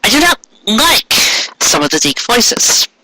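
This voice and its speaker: A man's voice: very high-pitched, very animated, unhurried, sharp tone, rough recording. A man reading fan fiction aloud in a theatrical, comedic style with an exasperated, hammy manner.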